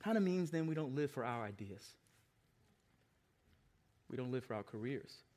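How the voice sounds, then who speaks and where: An American man in his forties preaching a sermon as a pastor, emphatic and given to repeated refrains, moving between hushed asides and loud exclamations. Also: mid-range voice, lively intonation, moderate pace. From Long Island, New York, United States. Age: 40s.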